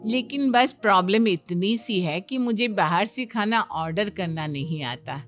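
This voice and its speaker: A woman's voice: medium pitch, unhurried, faint music behind, close to an everyday voice. A woman voicing a hospitable middle-aged aunt in a cartoon family story, homely and chatty.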